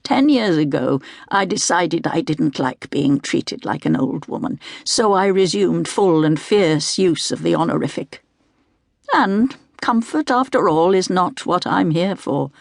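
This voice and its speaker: A woman reading scripted first-person literary fiction as an audiobook narrator, in a measured, deliberate way. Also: lowish voice, lively intonation, gravelly, no echo, clean studio sound.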